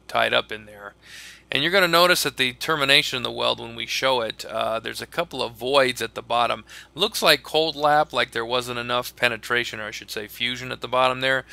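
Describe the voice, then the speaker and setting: A man who teaches welding narrates a voice-over of his own welding footage. He explains in a plain, practical way and often pauses with "uh". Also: medium pitch, creaky, bright, forceful tone, clean tone, no room sound. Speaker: welding instructor.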